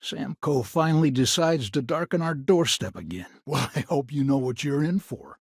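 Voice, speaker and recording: A grizzled older man, stern and proud, as a video game patriarch. His voice is gravelly and husky, recorded close and dry. He speaks curtly and gruffly, in folksy frontier phrases. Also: fairly high pitch, lively intonation, moderate pace, no room sound. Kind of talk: dramatic video game dialogue acting.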